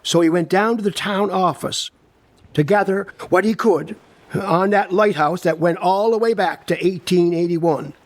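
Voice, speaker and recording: A man's voice: high voice, a little slow. An older man with a Newfoundland accent playing a friendly, proud village local who reminisces in a chatty, folksy storytelling monologue with asides. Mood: fond, proud.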